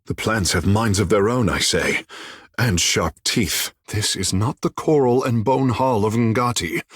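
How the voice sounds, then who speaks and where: A vain, flirtatious fish-folk mystic from a fantasy video game. His delivery is courtly and theatrical, swooping widely in pitch with playful wit. The voice has a breathy husk and sounds close and dry. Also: no room sound, full character voice. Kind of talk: scripted video game companion dialogue.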